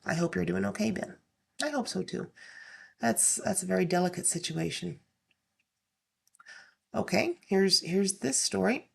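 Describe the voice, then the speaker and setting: Slightly hoarse, creaky low-voiced woman. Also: a little slow, long pauses. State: slightly hoarse, getting over illness. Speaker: host reading online stories aloud. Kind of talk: casual reading with off-the-cuff commentary.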